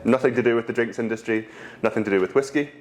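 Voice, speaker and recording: A young adult man from Scotland with a Scottish accent, answering interview questions in a relaxed way with frequent ums and pauses. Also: medium pitch. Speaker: guest, whisky brand ambassador.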